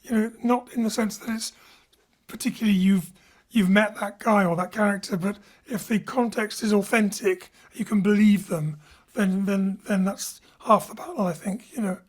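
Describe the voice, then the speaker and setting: A woman with a mid-pitched voice hosts a post-show chat as director. She asks reflective questions that ramble a little as she thinks them through. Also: fairly even intonation, normal speed, darkish tone. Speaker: director and host.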